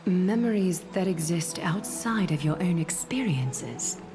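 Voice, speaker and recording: A woman playing a solemn, composed female leader in a video game, speaking formally and with measured resolve. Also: fairly low pitch, expressive, music in the background.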